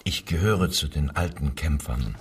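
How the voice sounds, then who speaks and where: An actor playing a nervous, evasive, husky-voiced working man under interrogation in a German radio crime drama, hesitant and anxiously deferential. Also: very deep voice, unhurried, distant mic, full character voice. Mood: defensive. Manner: hesitant, stammering, short evasive answers.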